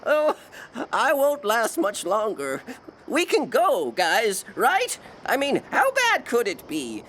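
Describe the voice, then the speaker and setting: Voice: medium pitch, huge pitch swings, slow pace, fairly bright tone, a little hiss or hum, exaggerated voice. An actor voicing a jittery, whiny prisoner ghost who stammers and pleads, desperate to escape, in an audio drama. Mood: anxious, whiny. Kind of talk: theatrical audio drama acting.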